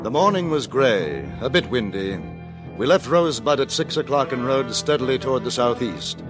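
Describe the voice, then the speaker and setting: An actor in a 1950s radio drama playing a reserved former cavalry captain who narrates and speaks in a dry, understated, polished way, over music on an old broadcast. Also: male voice, medium pitch, animated, moderate pace, natural voice. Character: reserved English ex-cavalry captain, adult man. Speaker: narrator and lead.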